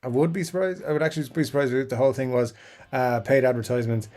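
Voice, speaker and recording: A man's voice: medium pitch, steady tone, quick pace, a little mellow. A man hosting a guitar channel's live stream, reading viewer comments and chatting in a rambling, casual way full of fillers and restarts. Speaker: YouTube guitar channel host.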